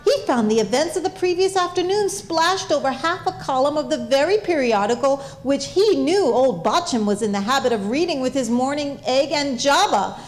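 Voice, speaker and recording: A woman's voice: high voice, expressive, moderate pace, hardly any pauses. A woman narrating a comic stage play as scripted storytelling.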